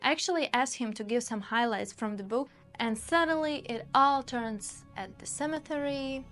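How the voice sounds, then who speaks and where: A woman's voice: a little high, normal speed, fairly bright tone. A woman narrating and interviewing in a friendly storytelling manner. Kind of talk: documentary narration. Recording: faint music underneath.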